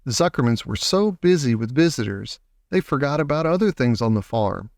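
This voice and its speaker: A man narrating a children's story from a script in a measured, clearly worded way. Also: medium pitch, very animated, a little mellow, no room sound, studio quality. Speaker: audiobook narrator.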